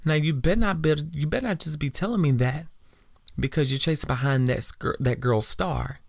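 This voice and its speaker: A man's voice: on the high side, brisk, gravelly, dry recording, studio quality. A man voicing a protective, suspicious single mother who scolds her teenage son in a knowing way. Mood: warning.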